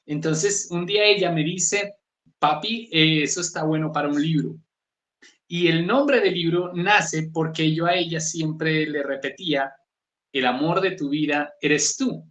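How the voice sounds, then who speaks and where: Colombian guy with a pasto accent, reflective and chatty, kinda high voice, echoey room. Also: measured pace, fairly bright tone. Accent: Colombian, Pasto region. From southern Colombia, Nariño. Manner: thoughtful, varied pitch, asks "¿sí?" to check in. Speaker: author, guest. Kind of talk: reflective conversational interview.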